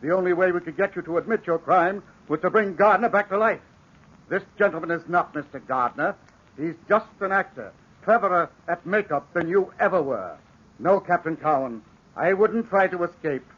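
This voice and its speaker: A calm, shrewd professor. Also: male voice, high voice, breathy edge. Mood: calm, assured. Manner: measured, composed, explanatory. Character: calm shrewd male professor who unmasks a killer. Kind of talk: 1940s radio acting. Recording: dull old radio broadcast recording.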